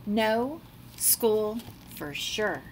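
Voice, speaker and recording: A woman's voice: mid-range voice, a little hiss or hum, rough recording. A middle-aged woman reads a children's picture book aloud like a teacher, dramatically and expressively, drawing out words. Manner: animated, dramatic delivery with drawn-out words. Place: small classroom, indoors.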